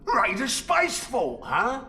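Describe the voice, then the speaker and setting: An actor playing a cheeky, excitable ork pilot in a video game, with a Cockney accent. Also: male voice, extremely high pitch, slow, slight background noise, heavily acted. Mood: incredulous. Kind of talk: video game cut-scene acting.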